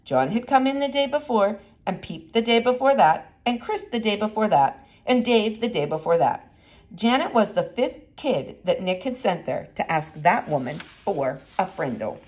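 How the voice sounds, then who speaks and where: Expressive woman reading a storybook, lively pitch. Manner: expressive, deliberate emphasis. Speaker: reader. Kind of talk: read-aloud children's storybook narration.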